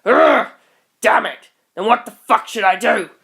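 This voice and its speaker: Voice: medium pitch, lively intonation, measured pace, creaky, a bit bright, slightly rough, pitched above the performer's own voice, full character voice. Reader putting on brash young punk, worked up and anguished, voice up a bit, action story. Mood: frustrated.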